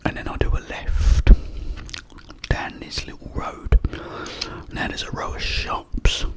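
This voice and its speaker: A man telling a personal anecdote in a hushed whisper throughout. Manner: whispering throughout, unhurried, drawn-out storytelling. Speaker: storyteller.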